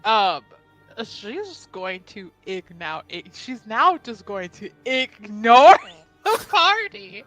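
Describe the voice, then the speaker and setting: A woman's voice: fairly high pitch, very animated, extremely slow, a bit bright, faint music behind. A woman playing a tabletop roleplaying game, casually improvising narration for her character and hesitantly thinking aloud.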